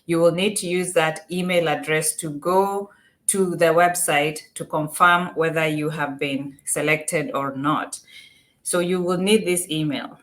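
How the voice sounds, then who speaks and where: A woman's voice: low-pitched, fairly even intonation, a little slow, a bit bright. A Kenyan-accented woman presenting an informal step-by-step tutorial, repeating and rephrasing her points as she explains. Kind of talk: informal step-by-step screen walkthrough.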